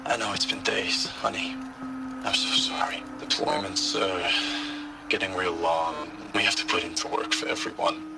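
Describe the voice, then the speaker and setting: A worn-out soldier in a game, low and gravelly, recording a halting message home. He sounds devoted and subdued, with music behind him. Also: steady tone. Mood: sorrowful resolve. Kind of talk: dramatic voice-message monologue.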